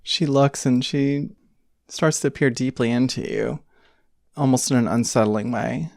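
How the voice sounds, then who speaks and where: A woman's voice: rumbling low, unhurried, a little mellow, no room sound, studio quality. A woman narrating an improvised tabletop game as game master, descriptive and conversational, feeling out phrases as she goes.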